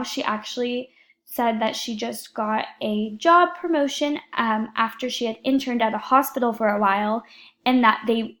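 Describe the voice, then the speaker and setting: A young woman, an education student, earnestly reading a prepared report for her class, with a few fillers. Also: fairly high pitch.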